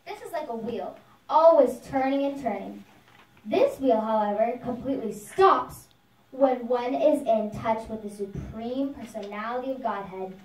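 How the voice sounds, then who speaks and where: A woman's voice: a little high, darkish tone, close to an everyday voice. A woman voicing an earnest young boy devotee who preaches simply to his friends in a puppet show. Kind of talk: puppet show storytelling, sermon-like. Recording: echoey room, distant microphone.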